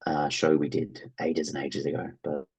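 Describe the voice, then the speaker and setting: An Australian man who works as an actor, telling stories casually as an interview guest in an animated way. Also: rumbling low, moderate pace, slightly rough. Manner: animated anecdote-telling, acting out quoted reactions.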